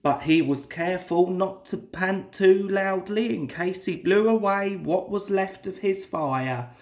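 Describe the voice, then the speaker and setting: A person reading a children's story aloud in a deliberate, dramatic storytelling style. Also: mid-range voice. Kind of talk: expressive children's story narration.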